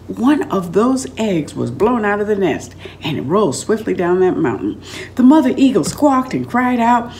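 An older woman reading a story aloud in an expressive, dramatic and deliberate storytelling manner. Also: mid-range voice, a little hiss or hum. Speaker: author reading aloud from own book. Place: room at home, indoors.

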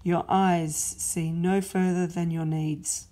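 Woman doing a stern heavenly king, slow and loud, gravelly and dark. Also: medium pitch, full character voice. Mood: commanding. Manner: commanding, slow and emphatic. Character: booming divine father-king, stern and loving.

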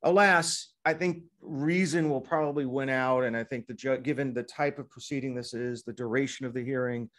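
A middle-aged man talks over a laptop webcam from a hotel room, chatty and wandering from topic to topic. His voice sits at an ordinary middle pitch, and he lifts it when he gets going on a joke. The sound is plain video-call audio. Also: normal speed, few pauses. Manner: chatty, digressive, animated when joking. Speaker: podcast co-host, sports lawyer. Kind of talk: casual legal podcast chat. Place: hotel room in Midtown Manhattan.

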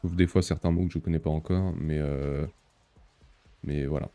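A man's voice: extremely low pitch, steady tone, normal speed, dark tone, soft background music. A man in his thirties chatting casually while streaming a game, talking with lots of hesitant fillers and reading chat aloud. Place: small room with purple lighting.

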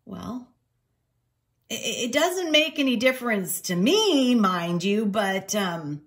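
A woman's voice: on the high side, big pitch swings, takes it slowly, pitched above the performer's own voice, heavily acted. Woman voicing a fussy, stammering elderly beagle innkeeper. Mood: flustered. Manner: stammering, hesitant.

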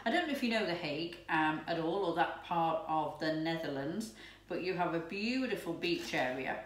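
A woman in her fifties chatting excitedly to camera while cooking in her home kitchen. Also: deep voice, moderate pace. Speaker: lifestyle vlogger. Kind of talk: casual chat to camera. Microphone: camera's built-in mic from a few steps away. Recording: echoey room, distant mic, background noise.

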